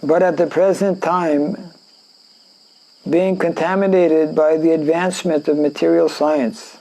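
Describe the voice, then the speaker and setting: A man with a French Canadian accent, a native French speaker from Canada, reading and explaining scripture in a class, halting with fillers and frequent throat clearing. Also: a little high, steady tone, dark tone, low-quality recording. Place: indoor class in a rural town.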